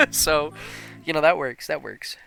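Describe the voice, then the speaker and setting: A young man with a slightly sniffly nose from allergies, casually thinking out loud and commentating his own online card game, with slang and sudden excited laughs. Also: a little high, slow pace, creaky, faint music behind.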